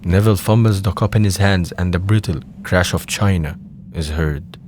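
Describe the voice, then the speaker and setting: A man's voice: low-pitched, moderate pace, a little mellow, slight background noise. A man narrating an audio drama, reading scripted stage directions.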